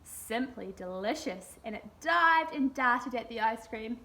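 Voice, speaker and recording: Lady reading a kids picture book, high bright voice, expressive. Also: measured pace. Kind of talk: expressive children's story reading.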